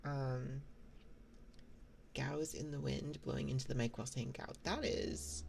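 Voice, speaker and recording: A woman streaming ASMR, chatting softly and rambling with lots of fillers, sometimes dropping to a whisper. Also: rumbling low, fairly even intonation, measured pace, vocal fry, a little hiss or hum. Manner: rambling, many fillers and restarts; soft-spoken, drifts into whispers. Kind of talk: soft casual stream chat. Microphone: binaural mic.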